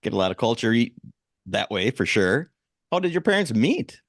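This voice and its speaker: A man's voice: medium pitch, sing-song, moderate pace, dry recording, clean studio sound. A man co-hosting a podcast in casual, joking chat. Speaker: podcast co-host.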